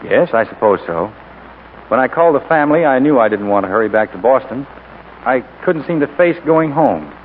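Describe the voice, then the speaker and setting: An actor playing a pensive, reserved husband and war veteran in 1940s radio drama, speaking politely and hesitantly. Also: male voice, medium pitch, expressive, brisk, natural voice. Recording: noisy, tinny 1940s radio recording.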